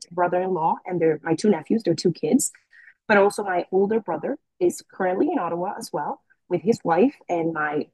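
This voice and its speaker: A young woman from the Dominican Republic, answering interview questions in a relaxed way, with many fillers and restarts. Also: lowish voice, breathy edge, no room sound, low-quality recording. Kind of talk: casual conversational interview.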